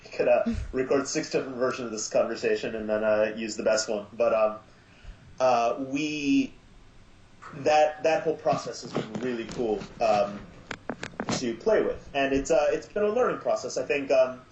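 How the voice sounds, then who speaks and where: A man speaking as an interview guest in casual chat, enthusiastic but halting and reflective. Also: mid-range voice, reverberant, lo-fi sound, background noise. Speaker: podcast guest, audio drama maker.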